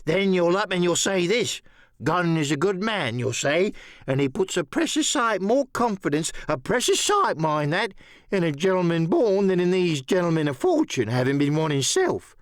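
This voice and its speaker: A half-crazed old castaway sailor in a for an audiobook radio play. The voice is pitched high and leaps up and down as he rambles, repeats himself and confides with sly shrewdness. The sound is close and dry. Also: very animated, normal speed, no echo. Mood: insistent, eccentric. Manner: rambling, repetitive, conspiratorial.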